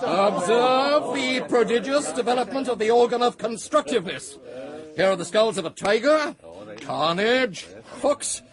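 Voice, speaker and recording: A man's voice: extremely high pitch, lively intonation, measured pace, a crowd in the background. An actor playing a pompous male phrenologist delivering a lecture in a radio drama, with a theatrical delivery. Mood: lecturing. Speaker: lecturer at a dinner party. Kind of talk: 1980s radio drama acting.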